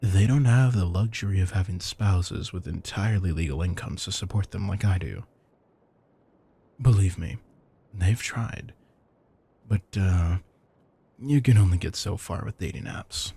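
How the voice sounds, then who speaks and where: A man's voice: low-pitched, a little slow, creaky, long pauses, dark tone, slightly rough, full character voice. A man playing an affectionate, theatrical retired supervillain turned doting house husband, teasing and mock-grandiose in an intimate audio roleplay. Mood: smug, casual.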